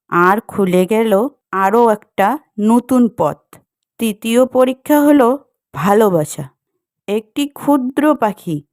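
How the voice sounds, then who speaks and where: Slow-paced woman narrating a fairy tale. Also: medium pitch, darkish tone, no room sound, studio quality. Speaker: storyteller, narrator. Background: music.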